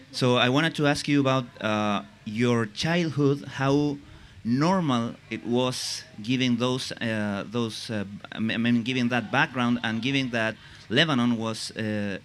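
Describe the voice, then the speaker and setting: A man interviewing a guest on stage, asking questions haltingly with many restarts and fillers. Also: medium pitch, a little hiss or hum.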